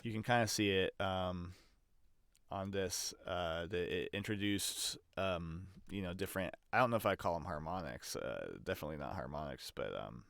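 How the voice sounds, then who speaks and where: A deep-voiced man presents a casual tutorial in a smooth, clear tone. He thinks aloud, hesitating as he explains each step. The sound is very dry and close. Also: moderate pace, fairly bright tone, dry recording. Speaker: audio production tutorial presenter. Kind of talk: casual explanatory screen demonstration.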